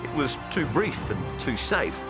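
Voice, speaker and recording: An Australian man narrates as a television reporter in a scripted documentary style. His mid-range voice moves with lively intonation over loud music. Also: medium pitch, measured pace. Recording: music bed behind voice.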